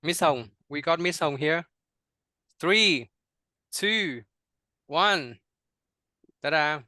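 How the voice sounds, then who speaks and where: Young Vietnamese-accented male teacher trainer on a video call; dry close sound, halting delivery with frequent "you know". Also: fairly high pitch, lively intonation, bright, forceful tone, no room sound. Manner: many fillers and repeated words, checks in with "right?". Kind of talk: online workshop presentation.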